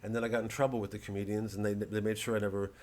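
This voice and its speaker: A man's voice: on the low side, level tone, racing, darkish tone. A male comedian casually promoting a live show in a rambling, digressive way, with a wry, mock-earnest delivery.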